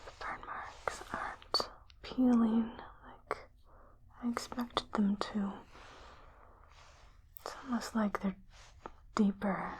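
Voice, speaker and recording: Woman playing a slow whispering spaceship doctor. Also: a little high, fairly even intonation, low-quality recording. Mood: curious, concerned. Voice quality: breathy, unvoiced whisper. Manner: slow, soothing, gently inquisitive. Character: calm, clinical female spaceship doctor examining an injured crewmate. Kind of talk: ASMR roleplay.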